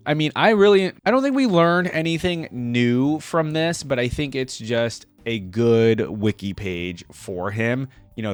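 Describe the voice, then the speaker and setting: A man's voice: medium pitch, dry recording. Casual young man, very dry sound. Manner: informal, slangy. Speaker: reaction video host. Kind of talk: casual commentary, reading wiki text aloud.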